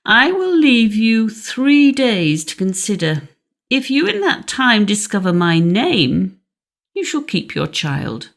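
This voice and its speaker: Storybook dwarf, performed by a woman: small cunning man, taunting and exclamatory, slow delivery with some gravel. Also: on the high side, sing-song, a bit bright, exaggerated voice. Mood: bargaining. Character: gleeful, cunning little male dwarf who turns furious. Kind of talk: fairy tale audiobook character.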